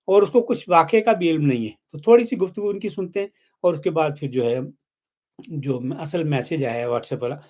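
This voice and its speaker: A man's voice: a little high, darkish tone. A man with a Pakistani accent giving blunt, critical, unscripted political commentary as a show host.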